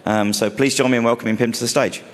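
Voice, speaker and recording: A man's voice: medium pitch, fairly even intonation, fast talker. A man introducing the next speaker at a conference in an informal way, with a few fillers. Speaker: conference moderator. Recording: reverberant hall with audience.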